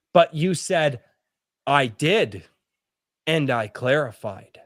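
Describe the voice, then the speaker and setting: A man hosting a heated podcast discussion, talking emphatically, swearing and slowing down to stress his points. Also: mid-range voice, dry recording, studio quality. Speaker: host.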